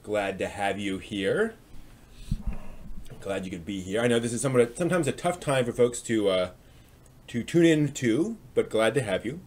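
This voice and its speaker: A man hosting a live stream in casual, halting conversation, reading out and answering chat comments. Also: lowish voice, expressive, a little mellow, a little hiss or hum.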